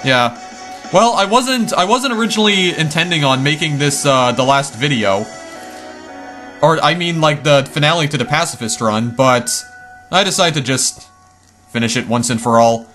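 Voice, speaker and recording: Male let's-player, slightly hoarse, casual exclamation-heavy commentary, faint music underneath. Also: a little high, lively intonation, moderate pace, sharp tone. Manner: chatty, easily startled, lots of exclamations. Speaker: let's play gamer.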